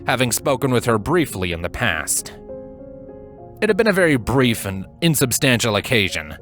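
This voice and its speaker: A man's voice: mid-range voice, a bit bright. A man reading scripted fan fiction as a storybook narrator. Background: background music.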